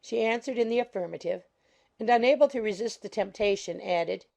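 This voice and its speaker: A woman's voice: mid-range voice, a little fast, vocal fry, darkish tone. A woman narrating a classic novel in a scripted, literary storytelling style. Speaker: audiobook narrator.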